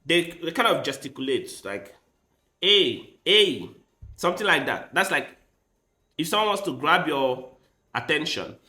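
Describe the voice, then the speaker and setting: Slow-talking, lively chess streamer man. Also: a little high, reverberant. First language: Igbo. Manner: thinks aloud while playing, with quick bursts of excitement. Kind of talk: casual live-stream commentary.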